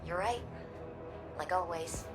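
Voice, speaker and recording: Earnest low-voiced woman. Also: slow pace. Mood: earnest, tender. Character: earnest, loyal woman confiding in her AI companion. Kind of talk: scripted video game dialogue. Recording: game music behind.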